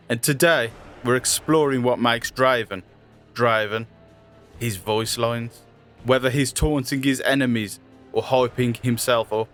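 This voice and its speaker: A man's voice: mid-range voice, fairly bright tone. A man presenting a game character showcase in a scripted promotional narration style.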